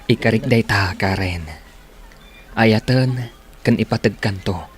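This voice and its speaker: A man's voice: on the low side, slow pace. A man with an Ilocano accent playing an earnest, loving groom in a radio drama. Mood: devoted, earnest. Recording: radio drama with loud music bed.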